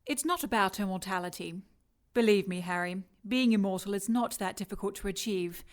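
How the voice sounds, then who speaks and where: An actress playing a scornful, scheming immortal villainess who talks with mocking condescension in an audio drama. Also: female voice, mid-range voice, fairly quick, natural voice.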